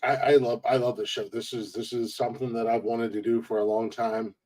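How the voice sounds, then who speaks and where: Male podcast host, 40s, mid-pitched, rambling, self-amused. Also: flat delivery, fairly quick, a little mellow. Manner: rambling, restarts often, laughs at own jokes. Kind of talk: casual podcast chat.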